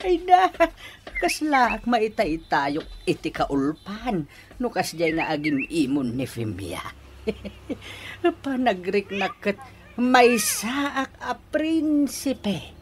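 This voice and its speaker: A man's voice: high voice, wildly swooping pitch, very slow, slight background noise, full character voice. A man playing a vain, giggly, doting middle-aged Ilocano father and husband in a comedic radio drama. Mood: boastful. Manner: exaggerated, drawling, prone to gasps and giggles.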